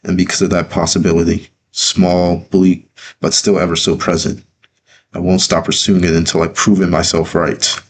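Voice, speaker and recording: A man reading his own story as a dramatic first-person narration, earnest, with deliberate pauses. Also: low voice, little pitch movement, slight background noise.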